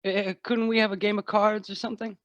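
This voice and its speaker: A pleading young apprentice lad in a radio drama. His voice is high and boyish, polite and restless, on a dull, dry recording. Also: flat delivery, a little fast, fairly bright tone, no echo. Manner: polite, wheedling. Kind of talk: old-fashioned radio play acting.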